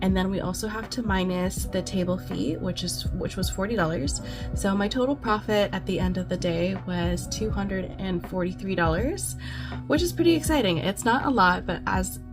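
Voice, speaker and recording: A woman's voice: mid-range voice, moderate pace. A young woman vlogging casually and upbeat about her craft sales, with lots of ums. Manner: chatty, upbeat, many ums. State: losing her voice from a cold. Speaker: crochet vlogger. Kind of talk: casual vlog chat. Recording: clear background music.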